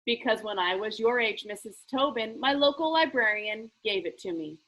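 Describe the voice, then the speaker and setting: Eccentric storybook gentleman game-maker, played by a woman: bright, high, theatrical, playfully grand. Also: expressive, normal speed, low-quality recording. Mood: brisk, pleased. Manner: theatrical, emphatic, pronouncing showily.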